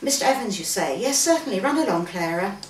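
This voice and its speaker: A woman's voice: mid-range voice, animated, fast talker, lightly acted. A woman voicing a stern governess with a clipped, formal manner in a story reading. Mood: brisk, gracious. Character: stern, bad-tempered governess, briskly gracious in company. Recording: roomy, distant mic, faint background noise.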